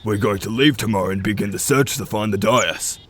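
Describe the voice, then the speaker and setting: A man's voice: on the low side, brisk, natural voice. A man playing a troubled, determined pack leader in a fantasy audio drama, speaking formally and earnestly with a commanding manner. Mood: resolute.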